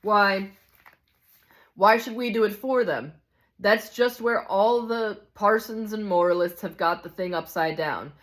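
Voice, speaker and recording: A man's voice: high voice, a little slow, fairly bright tone, voice pushed up. A woman reading the part of a cynical, hard-bitten male ghost in a storybook, grumbling scornfully and insistently. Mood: triumphant complaint. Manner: scornful, insistent complaining with rhetorical questions.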